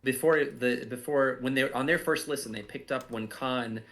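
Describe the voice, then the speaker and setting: A man's voice: medium pitch, normal speed, fairly bright tone. A man giving casual commentary as a writer, thoughtfully searching for his words. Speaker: audio drama writer giving cast commentary. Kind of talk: casual chat, production commentary.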